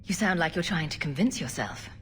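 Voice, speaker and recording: A woman playing a composed, skeptical adventuring heroine in a video game, measured and questioning. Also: low-pitched, lively intonation, fairly bright tone, faint music behind. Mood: probing.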